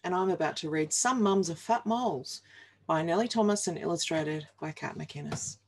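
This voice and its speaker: A woman in her forties with an Australian accent reads comic rhyming verse aloud in a deliberate, wry and playful manner. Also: lowish voice, faint music behind. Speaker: reader of a humorous picture book. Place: small home office, indoors.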